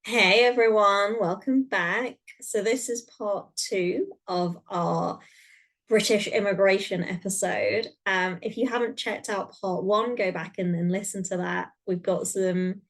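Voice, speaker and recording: A woman hosting a podcast, opening the episode in an upbeat, welcoming way and handing over to her co-host. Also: medium pitch. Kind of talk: casual podcast conversation.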